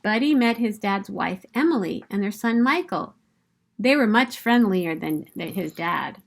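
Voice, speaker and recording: A woman reading a children's picture book aloud in an expressive, emphatic storytelling manner. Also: medium pitch, measured pace, creaky.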